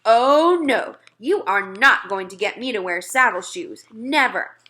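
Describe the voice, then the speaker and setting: A woman's voice: a little high, expressive, measured pace, sharp tone. A woman voicing an exasperated fourth-grade boy in a storybook, giving blunt, grudging retorts. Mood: indignant.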